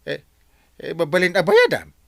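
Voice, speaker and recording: A man's voice: high-pitched, wildly swooping pitch, moderate pace, fairly bright tone, no room sound, clean studio sound, exaggerated voice. A man with an Ilocano Filipino accent playing a grumbling village captain in an audio comedy, exclaiming and complaining. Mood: indignant. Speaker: lead actor in comedy drama.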